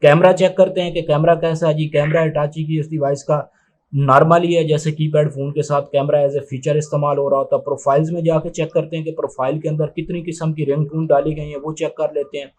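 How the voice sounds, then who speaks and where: Male product reviewer, slightly high voice, flat delivery, methodical and matter-of-fact. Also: a little fast. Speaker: tech reviewer presenting a phone unboxing. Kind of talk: unscripted step-by-step product demonstration.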